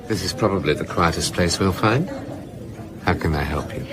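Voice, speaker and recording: A man's voice: sits low, normal speed, crowd noise, close to an everyday voice. A man playing a self-assured, drily flippant British crime novelist in a naturalistic radio drama. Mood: affable. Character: smooth, self-assured middle-aged male crime novelist.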